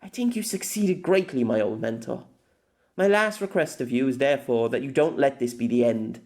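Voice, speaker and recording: A man's voice: mid-range voice, a little mellow, natural voice. A man voicing a weary, lonely, long-lived empress in an audiobook, reading her farewell letter in a formal, wistful manner. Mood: fond, regretful. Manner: formal, wistful, measured with dramatic pauses. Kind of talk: scripted audiobook narration of a letter.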